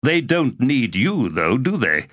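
A man's voice: medium pitch, big pitch swings, takes it slowly, a bit bright, dry recording, clean studio sound, pitched above the performer's own voice, full character voice. A man voicing Torrent, a weary and defiant young male warrior, in an audiobook reading.

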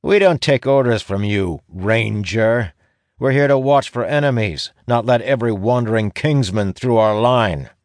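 A man voicing a blustering, suspicious guard in a fantasy audiobook, with a gruff, challenging manner. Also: mid-range voice, unhurried, dry recording, voice pushed up, lightly acted. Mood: stubborn, defiant. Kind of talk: audiobook fantasy character acting.

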